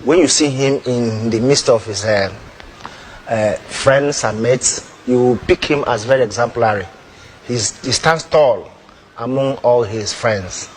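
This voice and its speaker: Man interviewed over background noise. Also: medium pitch. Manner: halting, formal praise. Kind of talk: unscripted testimonial.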